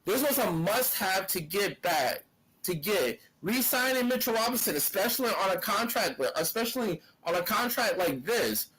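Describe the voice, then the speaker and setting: Animated high-voiced man talking basketball. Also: moderate pace, breathy edge, low-quality recording. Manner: animated, restarts phrases often. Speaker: sports podcast co-host. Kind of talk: casual sports debate.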